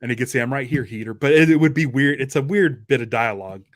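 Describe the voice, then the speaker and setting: A man's voice: mid-range voice, fast, no echo, clean studio sound. A man hosting a podcast, talking about film in a casual, enthusiastic, conversational way like a fan.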